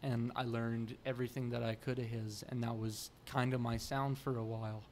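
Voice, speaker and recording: A young adult man, a musician guest in a podcast interview, talking earnestly in animated bursts with many restarts, at a table mic in a small studio room. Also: mid-range voice, normal speed, slight background noise. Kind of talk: casual interview chat. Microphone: boom mic on the table.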